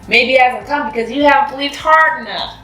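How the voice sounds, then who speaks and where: A woman voicing a kindly, jolly older male janitor from a children's storybook in a warm, reassuring, emphatic way. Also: sits high, fairly quick, voice pushed up. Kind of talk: children's storybook read-aloud. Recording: roomy echo, music behind.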